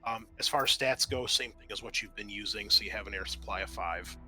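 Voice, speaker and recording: A man's voice: lowish voice, fairly quick, bright, forceful tone, soft background music. A man running a tabletop game as game master, narrating in a casual, conversational way.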